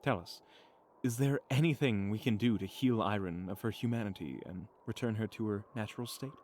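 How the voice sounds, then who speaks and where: A man's voice: lowish voice, a little mellow, slight background noise, natural voice. Man playing a solemn, resolute quest companion. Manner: formal, deliberate. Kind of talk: audio roleplay drama.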